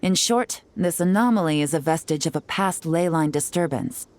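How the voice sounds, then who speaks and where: A woman playing a cool, shrewd young intelligence agent in a video game, speaking in a measured, knowing, composed way. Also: deep voice, a little mellow, a little hiss or hum. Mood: composed briefing. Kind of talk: video game voice acting, story exposition.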